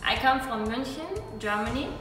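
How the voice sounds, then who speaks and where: Woman as a slow, musing German interviewee, a bit high, distant hall echo, music. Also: animated, natural voice. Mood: thoughtful. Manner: slow, thoughtful "hmm" answers. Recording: echoey hall from far off, music behind.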